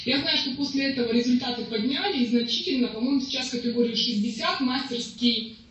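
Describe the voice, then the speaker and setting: Woman, ex-powerlifter guest, matter-of-fact, slightly high voice, very echoey. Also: fast talker, a bit bright. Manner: matter-of-fact, reciting numbers. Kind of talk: casual livestream chat. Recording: very echoey room, far from mic, dull.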